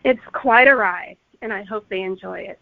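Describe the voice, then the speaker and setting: A woman's voice: medium pitch, moderate pace, vocal fry, dry recording. A woman speaking as a guest author, answering interview questions conversationally. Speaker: guest author discussing her book. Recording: narrow phone-like line, dry.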